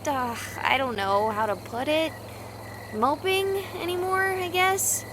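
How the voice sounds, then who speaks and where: A woman's voice: sits high, slow, background noise. A woman voicing a candid, wry young woman in a visual novel, conversational and a little guarded. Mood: candid, reflective. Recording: music and night insects behind. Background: music, crickets and frogs.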